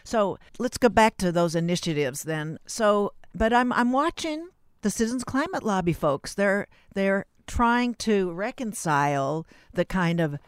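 A radio host interviewing a guest live and unscripted, with halting, circling phrasing. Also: mid-range voice, expressive, smooth, dry recording, studio quality.

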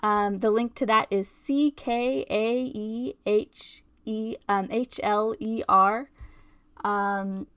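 A woman radio host reading out a show introduction in an informal, somewhat halting way. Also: mid-range voice, steady tone, fairly bright tone, smooth.

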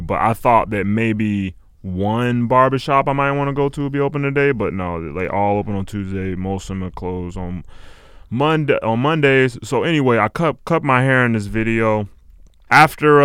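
A man in his late twenties chatting casually as an interview guest, halting and meandering as he reflects. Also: fairly low pitch, clean tone, no room sound, clean studio sound. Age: late 20s. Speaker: interview guest, music artist. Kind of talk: casual chat.

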